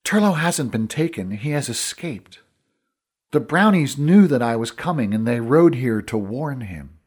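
A man's voice: medium pitch, expressive, measured pace, darkish tone, husky. A man voicing an earnest, weary young fantasy hero with an urgent manner in an audiobook. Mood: grave.

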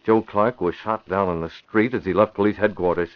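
A man's voice: on the low side, fairly even intonation, a little fast, dry recording, close to an everyday voice. An actor playing an earnest, determined crime-fighting newspaperman in an old-time radio drama, serious and urgent. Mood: grave, determined. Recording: muffled, faintly noisy vintage radio recording.

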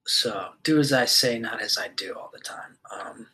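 A man's voice: on the low side, normal speed, pushed and bright, poor audio. A man in his twenties hosting a podcast, talking loosely and rambling in a casual monologue, swearing often and leaning on fillers. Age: 20s. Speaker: podcast host.

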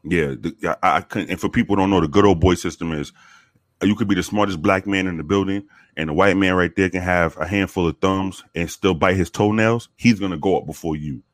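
A man from the Bronx with a very deep voice, telling profane, animated stories with many restarts in a casual interview. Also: fairly quick, no echo, clean studio sound. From the Bronx, New York. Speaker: guest, former police officer.

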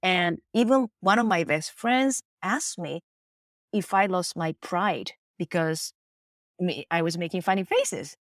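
A woman's voice: fairly low pitch, a little slow, no echo. A middle-aged woman with a Japanese accent tells her story in an earnest, reflective way. She often slips into quoted lines. The recording is dry and close. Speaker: face yoga teacher. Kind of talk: interview answers.

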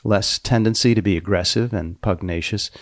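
A man hosting a podcast, narrating from a script with deliberate pauses and dramatic emphasis. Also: fairly low pitch, no echo, clean studio sound. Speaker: podcast host.

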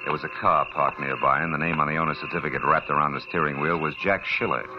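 An actor playing a wisecracking hard-boiled private detective who narrates a 1940s radio drama. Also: male voice, a bass voice, steady tone, fast talker. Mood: wry. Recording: dull old radio recording with music.

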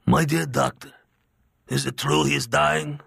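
A man voicing an aging crime boss with a slight Italian accent, measured, grave and paternal. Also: a little high, steady tone, vocal fry, fairly bright tone, slightly rough, no room sound, studio quality, voice pushed up, full character voice. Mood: solemn.

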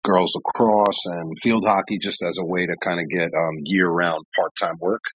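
A man who works as a referee, a guest in a casual interview, explaining things in a measured way. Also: low voice, fairly even intonation, fairly bright tone, slightly rough, dry recording, rough recording.

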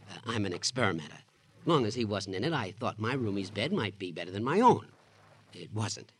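A man's voice: lowish voice, sing-song, brisk, husky. An American man playing a fussy, gossipy male roommate in a vintage radio drama, arch and playfully prying. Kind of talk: 1940s-50s radio drama acting. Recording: noisy old radio recording.

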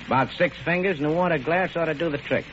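A man's voice: fairly high pitch, quick pace, natural voice. An actor playing a crude, greedy, menacing gangster with an American accent and slangy tough-guy talk in an old radio drama. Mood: leering, cocky. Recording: muffled, noisy old radio recording.

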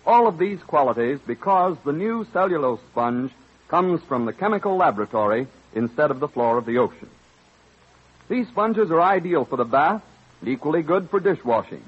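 Lively, high-pitched husky male radio announcer. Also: normal speed. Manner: emphatic, polished salesmanship. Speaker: radio announcer, sponsor spokesman. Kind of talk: scripted 1930s-40s radio commercial. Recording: dull old radio broadcast recording.

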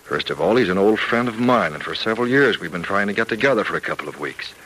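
A man's voice: on the low side, animated, fast, a bit bright, husky. An actor playing a firm, reassuring male doctor in an old-time radio serial, speaking patiently and persuasively. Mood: earnest, insistent. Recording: noisy vintage radio drama recording.

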